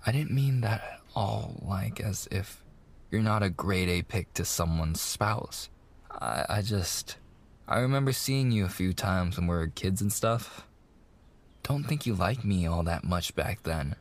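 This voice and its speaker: An actor playing a mafia boss's young son, an eager, sweet fiancé coaxing in slangy gangster talk in an intimate roleplay. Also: male voice, deep voice, expressive, unhurried, heavily acted. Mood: flustered, reassuring. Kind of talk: boyfriend ASMR roleplay, intimate monologue.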